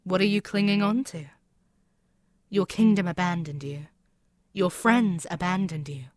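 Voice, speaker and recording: Woman as an imperious, low-voiced demon queen. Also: measured pace, dry recording, studio quality, lightly acted. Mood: exasperated, taunting. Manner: haughty, taunting, theatrical, dropping at times to near-whisper. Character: imperious demon queen, female, scheming, exasperated, menacing. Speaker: audio roleplay voice actor. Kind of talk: scripted ASMR audio roleplay, dramatic monologue.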